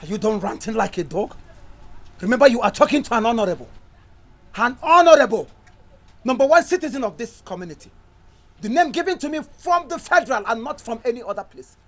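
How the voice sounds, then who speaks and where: A man's voice: very high-pitched, animated, a little fast. A man playing an arrogant, domineering local councillor who barks orders. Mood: contemptuous. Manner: pompous, domineering, shouting orders. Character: arrogant bullying male councillor, middle-aged. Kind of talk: Nollywood drama acting.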